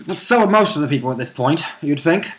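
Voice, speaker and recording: A man's voice: fairly high pitch, expressive, fast, fairly bright tone, a little hiss or hum. A man co-hosting in casual banter, chipping in with joking asides. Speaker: co-host.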